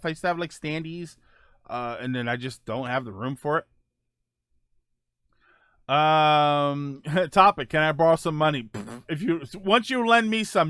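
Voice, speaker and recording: A man's voice: a little high, a bit bright, smooth, dry recording, clean studio sound. A man chatting casually as host of a live stream, rambling and joking as he answers viewers.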